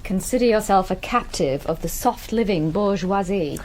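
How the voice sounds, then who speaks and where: An actress playing a brisk, bossy, strong-willed English farmer's wife with articulate speech in a period radio drama. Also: female voice, mid-range voice, a little mellow, slight background noise, lightly acted, poor audio. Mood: teasing, fond.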